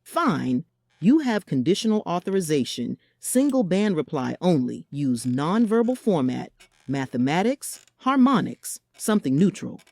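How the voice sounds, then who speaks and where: Low-voiced, commanding actress as female director. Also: big pitch swings, measured pace, darkish tone, no echo, full character voice. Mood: stern, wary. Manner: stern, commanding. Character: stern, cautious female director in authority. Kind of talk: audio drama acting.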